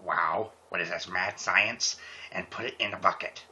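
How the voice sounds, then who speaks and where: A man's voice: sits low, fairly even intonation, normal speed, sharp tone. A man hosting a casual group fan fiction reading, talking and narrating on a computer mic. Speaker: host. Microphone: computer microphone on group voice call. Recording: muffled computer mic with background noise.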